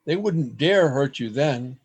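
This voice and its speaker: A man's voice: medium pitch, animated, takes it slowly, darkish tone, slightly rough. A man acting an earnest, lovestruck and fearful poet in a radio play, with formal, theatrical phrasing, recorded over a video call. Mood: pleading, lovestruck. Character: earnest, lovestruck, fearful male poet keeping a secret journal. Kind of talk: radio play acting, journal narration and dialogue.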